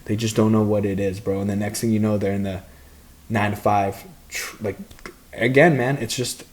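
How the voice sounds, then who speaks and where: A man's voice: on the low side, fairly even intonation, normal speed. Laid-back young man, slang-heavy chat. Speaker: podcast co-host, fighter. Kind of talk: casual chat.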